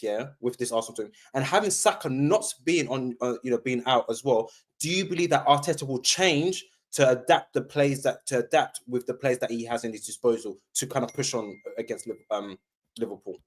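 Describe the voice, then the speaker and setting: A man's voice: mid-range voice, a little fast. A man chatting casually as a football fan panellist, informal and questioning.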